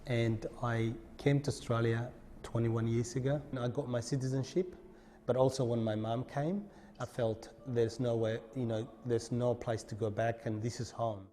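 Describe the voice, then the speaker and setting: A man, speaking in a relaxed, simple way in a short documentary interview. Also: mid-range voice, moderate pace, big-room sound. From Somalia. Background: guitar music.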